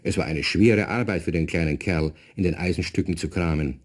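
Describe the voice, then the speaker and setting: A man's voice: deep voice, a little fast. A man as the storyteller of a children's radio play, narrating conversationally and addressing young listeners. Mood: storytelling.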